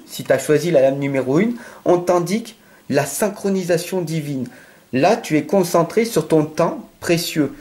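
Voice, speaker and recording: A man's voice: on the high side, darkish tone. A French-accented man giving a tarot card reading straight to the viewer, earnest and insistent, often checking in with "d'accord?". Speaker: tarot reader.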